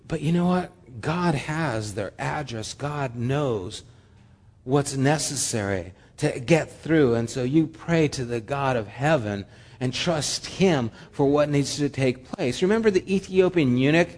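A pastor giving a conversational sermon with humorous asides. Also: male voice, medium pitch, expressive, a little slow, darkish tone, long reverb tail.

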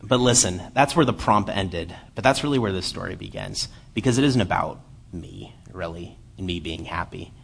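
A man in his late twenties giving a church sermon, lively while telling a story and then quiet and earnest as he reads scripture. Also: low voice, normal speed, gravelly, husky. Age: 20s. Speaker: lay preacher.

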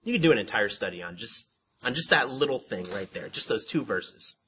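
A man teaching a Bible study in a conversational sermon style. He asks rhetorical questions, repeats himself, restarts words, and calls his own voice monotone. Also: mid-range voice, a little fast, slight background noise.

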